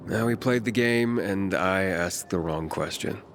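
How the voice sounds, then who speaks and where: Friendly young game hero, bit low, curious and easygoing, background noise. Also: male voice, measured pace. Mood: curious, probing. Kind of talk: video game character acting.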